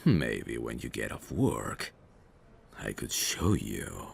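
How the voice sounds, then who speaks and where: A man's voice: very deep voice, big pitch swings, slow pace, creaky, a little hiss or hum, full character voice. A man playing a suave, dangerous mafia boss in an ASMR roleplay, cocky, flirtatious and teasing. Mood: cocky, seductive. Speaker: voice actor.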